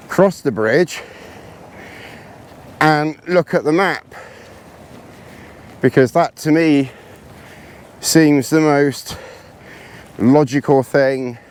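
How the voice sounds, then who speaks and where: A hoarse, congested man chatting casually to camera while hiking outdoors in the hills, rambling and poking fun at himself. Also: fairly high pitch, very slow, long pauses, darkish tone. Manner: rambling, self-deprecating, chatty. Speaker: vlogger.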